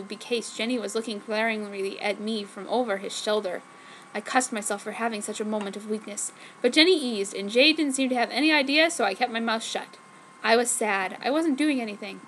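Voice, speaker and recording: A woman's voice: fairly high pitch, a bit bright, a little hiss or hum, low-quality recording. A woman reading fanfiction aloud as a theatrical, mock-dramatic narration. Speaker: fanfiction reader.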